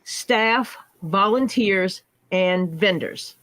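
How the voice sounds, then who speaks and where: A woman's voice: mid-range voice, drawn-out words, fairly bright tone, no echo. A woman with a scratchy voice from illness, hosting a casual livestream chat and greeting viewers by name as she talks. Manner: chatty, greets viewers by name mid-story. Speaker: livestream host.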